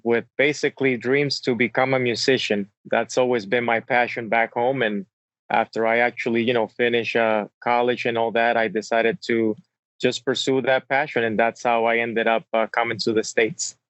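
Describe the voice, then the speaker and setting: A man's voice: medium pitch, moderate pace, no echo. Man from the Dominican Republic, dull dry sound. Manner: relaxed, many fillers like "uh" and "kinda". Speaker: guest entrepreneur. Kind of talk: casual interview answers.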